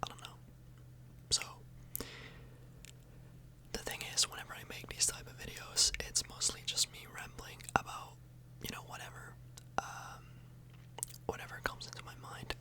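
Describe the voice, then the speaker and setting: A woman whispering softly and breathily in a casual, rambling ASMR video, often hesitating and correcting herself. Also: normal speed, pauses often, rough recording. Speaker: ASMR YouTuber, host. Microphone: microphone a little further away than usual.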